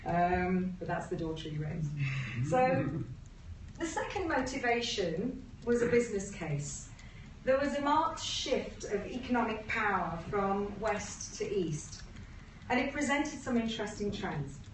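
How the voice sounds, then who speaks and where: A woman's voice: medium pitch, big pitch swings, measured pace. A British woman in her fifties giving a personal presentation in an informal, reflective way. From Uganda-born, grew up in England. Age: 50s. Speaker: presenter, agency co-founder. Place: room at a talk event. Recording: roomy, noisy, distant mic.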